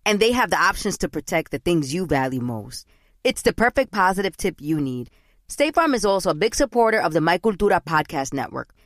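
A woman podcast host reads an ad in an upbeat, encouraging way. Her voice is low-pitched and lively, and it is recorded dry and close. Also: dry recording. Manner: warm, encouraging, casual. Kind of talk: upbeat ad read.

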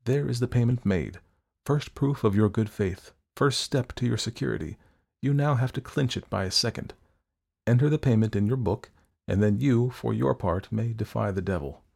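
A man's voice: mid-range voice, moderate pace, vocal fry. Man playing a cool, cynical young villain. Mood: smooth persuasion. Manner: calm, controlled, coaxing and worldly. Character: cool, cynical young doctor, a persuasive murderer.

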